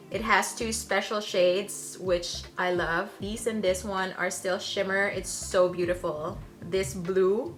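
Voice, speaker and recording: A woman reviewing makeup and talking through a tutorial, soft-spoken and gushing about the products. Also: mid-range voice. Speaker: beauty vlogger. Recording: background music under voice. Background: music.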